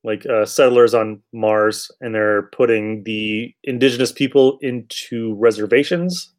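A man's voice: medium pitch, measured pace. A man hosting a podcast, chatting casually about an old radio show in a blunt, irreverent way with some swearing. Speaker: podcast host.

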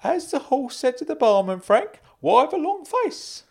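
A man voicing a kindly, fussy older uncle in an audiobook story, earnest and excitable. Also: sits high, a little slow, pitched above the performer's own voice, full character voice. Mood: cheerful joking. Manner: excitable, earnest, swooping delivery. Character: kindly older uncle, fussy, caring, fond of dad jokes.

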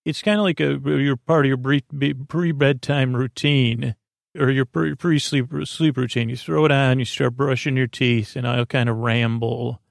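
A man hosting a bedtime podcast with a creaky voice, meandering and halting through a long-winded monologue that keeps interrupting itself. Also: medium pitch, steady tone, no echo, studio quality. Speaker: podcast host. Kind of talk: rambling bedtime monologue to lull listeners to sleep.

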